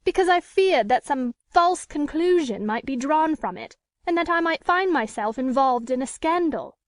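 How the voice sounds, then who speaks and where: Proud, indignant storybook lady, played by a woman narrator: very high pitch, formal, emphatic, close dry sound. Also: expressive, normal speed, no room sound. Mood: guarded, defiant. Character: proud, defiant woman with an unhappy secret past. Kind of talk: dramatic audiobook character reading.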